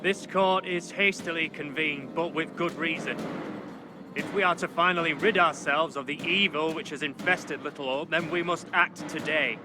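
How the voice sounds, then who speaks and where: An actor playing an authoritative seventeenth-century judge in a video game, speaking formally and with measured, declamatory weight. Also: male voice, on the high side, background noise, close to an everyday voice. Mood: stern accusation. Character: solemn, authoritative 17th-century witch-trial judge. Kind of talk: dramatic video game acting.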